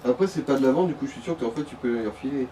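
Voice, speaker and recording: A man's voice: medium pitch, very fast, warm tone. A man chatting casually over gameplay as the player's companion. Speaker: let's play companion. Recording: distant mic with background noise.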